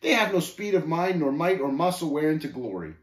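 A man's voice: a little high, big pitch swings, fairly quick, pitched above the performer's own voice. A man voicing Shame's part in a story, a haughty scoffer who talks in archaic speech with contemptuous, gloating, snapping taunts. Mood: sneering, gloating. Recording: roomy, echoing voice recording.

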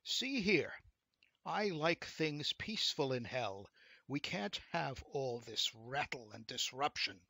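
A man's voice: a little high, very animated, normal speed, a bit bright, no room sound, heavily acted. A man voicing a testy, curt and commanding devil who rules hell in a storybook tale. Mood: scowling.

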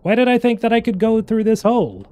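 A man in his thirties from Sweden streams a game and keeps up a joking, rambling chat with his viewers. His voice sits a little high for a man. He is heard through a headset mic in a small room. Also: expressive, quick pace, dark tone. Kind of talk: casual gameplay chat.

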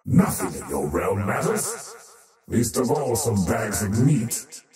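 Casting: faceless cosmic usurper, game villain; menacing, slow, wildly swooping delivery. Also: male voice, fairly low pitch, sing-song. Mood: cold contempt. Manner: haughty, menacing, slow grandiose declamation. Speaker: video game character voice. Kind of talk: theatrical game villain voice lines. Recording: heavily echoing, distant-sounding, over music. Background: music.

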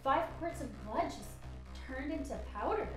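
A woman playing a brisk, compassionate, matter-of-fact doctor in a radio drama. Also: sits high, animated, roomy sound, faint music behind, rough recording. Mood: clinical. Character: brisk, compassionate female doctor investigating a disaster.

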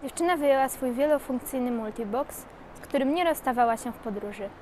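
A woman's voice: high-pitched, animated, fairly quick, darkish tone. A young female narrator reading a scripted story. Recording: some background noise and ambience.

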